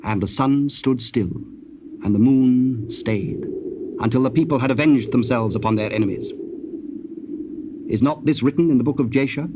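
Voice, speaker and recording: A man narrating a scripted, dramatized scripture reading in a solemn, measured manner. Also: mid-range voice. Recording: muffled old cassette, faint noise.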